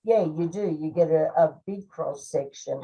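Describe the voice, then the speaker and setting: A woman's voice: sits low, normal speed, warm tone, poor audio. An older woman, chatting casually and reflectively as a podcast co-host, trailing off at times. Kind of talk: casual conversation.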